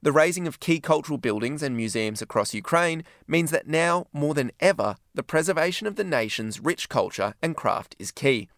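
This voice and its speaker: A male presenter reading scripted news links in a measured, formal way. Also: a little high, lively intonation, a bit bright, no room sound, studio quality.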